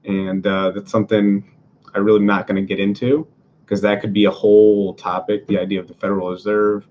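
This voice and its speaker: A man's voice: fairly low pitch, flat delivery, a little fast, dull audio, slight background noise. A man from Venezuela talking casually about politics as a podcast host, rambling through many fillers and restarts in his apartment.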